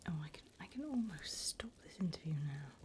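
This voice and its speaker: A demon interviewer from hell, played by a woman, whispers in a low, breathy voice. She is slow, deliberate and courteous, softly menacing and delighted by wickedness, in an intimate ASMR roleplay with some background noise. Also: sing-song. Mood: delighted, sinister.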